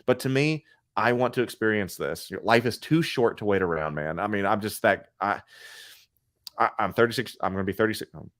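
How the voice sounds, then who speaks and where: A man in his mid-thirties with a low voice, chatting casually about his hobby. He rambles and restarts his sentences. The sound is dry and close, with no room echo. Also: lively intonation, a little fast, a bit bright. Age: 30s. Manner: rambling, candid, restarts often. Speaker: co-host collector. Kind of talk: casual podcast chat.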